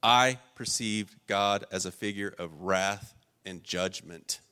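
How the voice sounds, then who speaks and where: A man delivers a sermon in a fairly low voice. He talks earnestly and emphatically, with louder bursts on key points and softer confiding asides. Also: takes it slowly, clear, resonant tone. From rural Ohio. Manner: earnest and emphatic, swinging between raised stresses and quiet personal asides. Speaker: pastor.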